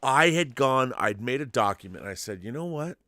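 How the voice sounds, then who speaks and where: A man's voice: medium pitch, lively intonation, fairly quick, bright, forceful tone, no echo, clean studio sound. A man hosting a casual live stream, chatting in an enthusiastic, rambling way and reading out viewer messages.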